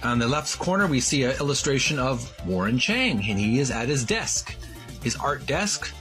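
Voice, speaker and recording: A man presenting and reading aloud as a video host, in a deliberate, emphatic way with broken phrasing. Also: medium pitch, lively intonation, fairly bright tone, soft background music. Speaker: host of a video series on retro game art. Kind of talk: casual presenting and slow, halting read-aloud from a book.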